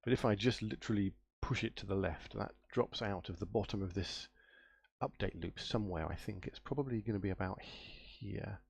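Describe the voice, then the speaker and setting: A man with a somewhat low voice and a gravelly edge, a little nasal from a cold. He talks through his game code on a live stream in a relaxed way, muttering, trailing off and spelling things out as he hunts through it. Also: moderate pace. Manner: muttering to himself, trailing off and spelling words out while searching. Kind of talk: casual thinking-aloud coding stream.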